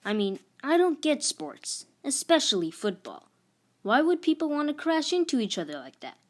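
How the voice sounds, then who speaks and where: A bookish middle-school boy, acted out by a woman in a storybook. He is quiet and offhand, dismissive of whatever does not interest him. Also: sits high, big pitch swings, moderate pace, pitched above the performer's own voice. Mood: puzzled disdain.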